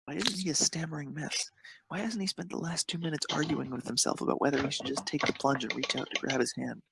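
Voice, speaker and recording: A man's voice: a little high, fairly even intonation, fast talker, vocal fry, very noisy. A man narrating a scripted piece of fan fiction as a storyteller.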